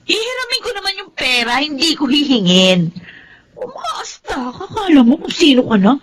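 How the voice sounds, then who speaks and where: High-pitched touchy Filipino older sister. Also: female voice, sing-song, moderate pace, a crowd in the background. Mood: offended, scornful. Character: defensive, touchy older sister and mother asking favours. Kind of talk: Filipino radio drama acting. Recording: faint background noise.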